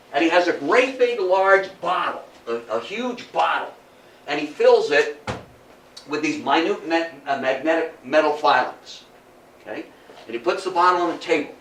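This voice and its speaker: A man giving a live history talk to a room as an animated, folksy storyteller, with emphatic repetition, rhetorical questions and asides. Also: a little high. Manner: folksy, emphatic, repeats phrases for effect. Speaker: history lecturer. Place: indoor room, talk before an audience. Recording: roomy, distant mic, faint background noise.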